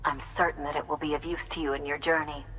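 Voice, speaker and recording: A woman's voice: low-pitched, little pitch movement, fast, recorded from a distance, very noisy, natural voice. A woman playing an eccentric, eager scientist with a theatrical, grandiose manner in a video game cutscene. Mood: pleased, brisk.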